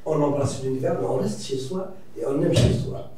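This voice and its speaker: A Mauritanian writer speaking reflectively as a debate guest, pausing and restarting often. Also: male voice, fairly high pitch, dark tone, a little hiss or hum. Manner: reflective, hesitant, many restarts and fillers. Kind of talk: debate discussion. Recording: echoey room from far off.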